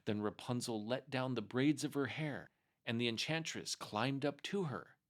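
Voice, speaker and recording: A man narrating a fairy tale aloud as a storyteller, expressive and measured in his delivery. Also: mid-range voice.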